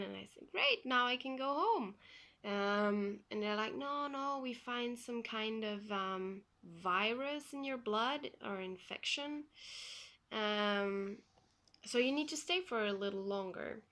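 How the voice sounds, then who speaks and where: Young woman, from Belgium, English not her first language, slow talker, bright voice, personal vlog story. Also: mid-range voice, vocal fry. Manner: unhurried, plain retelling with frequent ums. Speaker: vlogger.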